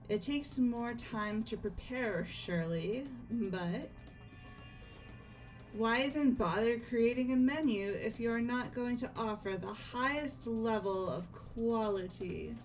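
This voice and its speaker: A woman's voice: on the high side, a little mellow, poor audio. A woman playing a haughty, demanding young lady with a formal, imperious manner, in a fan-voiced visual novel game. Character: haughty, demanding, coolly polite young lady who loves coercion.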